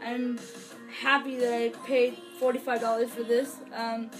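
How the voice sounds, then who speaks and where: A woman's voice: on the high side, flat delivery, measured pace, music in the background, low-quality recording. A young woman giving a casual, unscripted review to camera in an earnest, gushing way, often hesitating and trailing off mid-thought. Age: young adult. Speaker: vlogger, fan album reviewer. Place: small furnished bedroom, indoors. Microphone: camera or webcam a few feet away.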